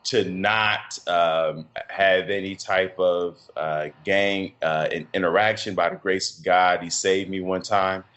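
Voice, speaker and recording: A middle-aged man from Chicago with a deep voice, a podcast guest talking in a reflective, halting way with many fillers and restarts. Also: steady tone, fairly bright tone. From Chicago, USA. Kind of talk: casual interview conversation.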